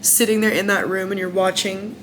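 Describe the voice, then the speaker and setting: A woman's voice: mid-range voice, flat delivery, brisk, fairly bright tone, slight background noise. A young American woman candidly recounting her time as a singing contestant in a casual vlog, chatty, with lots of fillers. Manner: candid and chatty, many "like" fillers, frank opinions.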